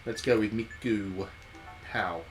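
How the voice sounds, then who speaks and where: A man's voice: fairly low pitch, slow pace, soft background music, rough recording. A man giving casual live commentary as he streams a game, rambling and thinking aloud. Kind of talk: casual live commentary on a visual novel playthrough. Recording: steady background noise.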